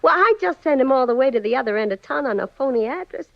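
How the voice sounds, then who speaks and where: Casting: slippery double-crossing moll, high voice swinging widely, old radio thriller, dull dry recording. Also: female voice, animated, fast talker, gravelly, dry recording. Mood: evasive, coy. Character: scheming double-crossing gangster moll, slangy and evasive. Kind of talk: 1940s radio crime drama acting.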